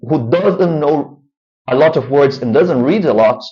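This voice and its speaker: A man's voice: a little high, expressive, a little slow. Brazilian guy vlogging about languages, keeps saying right?, kinda dull muffled audio. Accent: Brazilian Portuguese. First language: Portuguese. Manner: rambling, frequent "right?" tag questions. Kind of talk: casual talk to camera.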